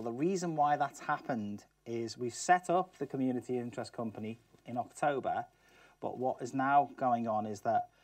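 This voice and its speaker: A man's voice: mid-range voice, darkish tone, dry recording. A middle-aged man from Liverpool with a Liverpool accent, giving a chatty talk to students and asking them questions. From Liverpool, England. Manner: chatty, asks the audience questions. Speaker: guest speaker. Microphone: far camera mic, no mic seen. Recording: distant, dry studio sound.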